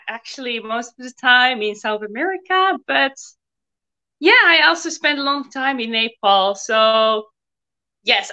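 A woman's voice: fairly high pitch, pushed and bright. A Dutch woman answering interview questions in an open, chatty way with some fillers. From Netherlands. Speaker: guest. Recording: slightly muffled audio.

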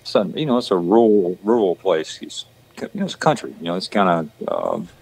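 Deep-voiced Southern country man. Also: lively intonation, moderate pace, a little mellow, husky, low-quality recording. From Georgia, US. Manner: rambling, with many restarts and fillers. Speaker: actor guest. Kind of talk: casual chat.

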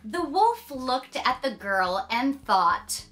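A woman's voice: sits high, big pitch swings, slow, a bit bright, soft background music, exaggerated voice. A woman voicing a dramatic, increasingly exasperated storybook narrator in a children's read-aloud. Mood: storytelling. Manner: dramatic, emphatic, fed up. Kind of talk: animated children's picture-book read-aloud.

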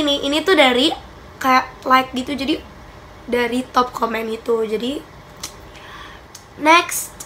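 A young woman chatting casually through a Q&A vlog in her bedroom, a little stuffy-nosed from a cold. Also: high voice. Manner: chatty, giggly, animated hand gestures. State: slightly stuffy nose from a cold. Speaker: vlogger. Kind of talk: casual Q&A chat. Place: small bedroom indoors.

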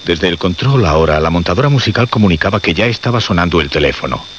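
An actor narrating a radio suspense drama in a grave, measured, dramatic way. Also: male voice, low-pitched, animated, fairly bright tone, slight background noise. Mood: grave, suspenseful. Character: grave male narrator of a horror tale. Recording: muffled radio drama recording.

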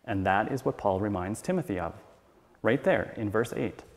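A middle-aged man reads a scripted sermon in a measured, formal way from a church lectern. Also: on the low side, lively intonation. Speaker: church elder reading a sermon. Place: church hall, at a wooden lectern. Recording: long church hall reverb.

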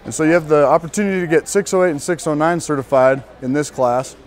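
A young man from northern Colorado, a student casually showing visitors around a large diesel workshop, pausing often with ums and restarts. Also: fairly high pitch. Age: young adult. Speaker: student giving a shop tour. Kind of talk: walking tour guide talk.

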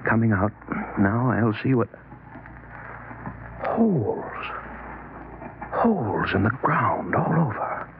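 A cold, scornful scientist on vintage radio. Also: male voice, lowish voice, big pitch swings, takes it slowly, gravelly, long pauses, heavily acted. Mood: puzzled, uneasy. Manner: curt, scornful, clipped. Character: cold, arrogant, irritable middle-aged scientist husband. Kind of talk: old-time radio horror acting. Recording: noisy, narrow-band vintage radio recording.

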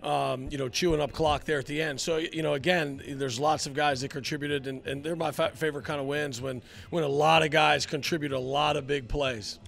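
A middle-aged man, a head football coach, answering postgame questions in a halting way with many fillers, into a handheld mic indoors. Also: fairly high pitch, steady tone, gravelly, a bit bright. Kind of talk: postgame interview. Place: indoor locker room area. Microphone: handheld mic held by interviewer.

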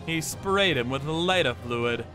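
A man's voice: a little high, expressive, a little slow, pushed and bright, soft background music. A man acting a cold, vengeful torturer who tells his story in a theatrical, menacing manga dub style. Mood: grim narrating. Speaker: narrator and lead voice actor. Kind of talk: dramatic manga dub narration.

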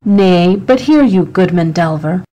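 A woman's voice: fairly low pitch, lively intonation, unhurried, mellow, rough recording. A woman playing a simple, questioning rustic gravedigger's sidekick in a Shakespeare audiobook. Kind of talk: dramatic audiobook reading of Shakespeare.

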